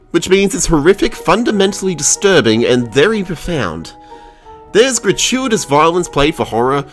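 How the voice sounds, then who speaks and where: A man's voice: mid-range voice, fairly bright tone. An Australian man delivering a scripted review narration with irreverent, crude humour. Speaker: video reviewer.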